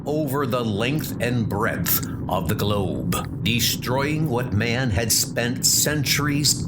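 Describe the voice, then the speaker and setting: A man narrating a comic book in a dramatic, slow and emphatic way. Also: fairly low pitch.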